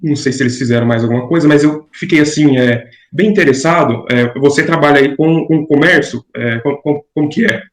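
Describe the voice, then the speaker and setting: A man's voice: fairly high pitch, fairly quick, hardly any pauses, roomy sound. A man interviewing a guest over a video call, hesitant with many fillers. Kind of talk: casual interview questions.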